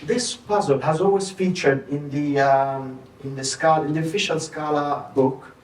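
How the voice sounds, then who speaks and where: A man's voice: a little high, steady tone, moderate pace, slight background noise. A middle-aged man whose first language is Italian, with a mixed accent, giving a chatty step-by-step technical talk with slides at a meetup. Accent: mixed Italian, German and English. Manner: chatty, explains step by step, gestures at screen. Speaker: conference speaker. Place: large meetup room with projector. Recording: echoey room, recorded from a distance.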